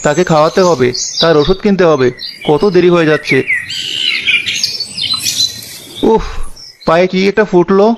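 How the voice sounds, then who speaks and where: A man voicing a poor, kind-hearted young woodcutter in an animated fairy tale, with an earnest and gentle manner. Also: a little high, brisk, heavy background noise, close to an everyday voice. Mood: weary, frustrated. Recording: dull lo-fi sound. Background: loud background music.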